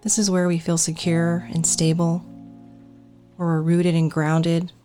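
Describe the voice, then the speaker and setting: A woman guides a meditation with a notably low-pitched voice. She speaks calmly and deliberately, in soothing instructions. Faint music plays behind her.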